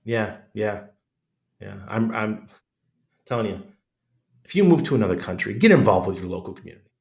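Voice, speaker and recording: Middle-aged American male, low voice, congested chest, blunt salty talker in a relaxed podcast chat. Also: normal speed, creaky. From United States. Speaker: podcast guest. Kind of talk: casual conversational interview.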